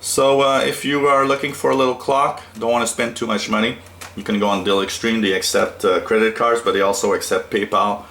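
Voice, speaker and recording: Low-pitched man giving a casual tutorial. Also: steady tone, fairly quick, fairly bright tone, slight background noise. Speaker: shortwave radio hobbyist presenting a product. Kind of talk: casual hobby product tutorial. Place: small indoor room at a radio desk.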